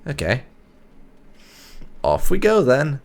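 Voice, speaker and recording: A man doing casual playthrough commentary as a gaming host, musing aloud in an unhurried way as he plays. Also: fairly high pitch, expressive, gravelly.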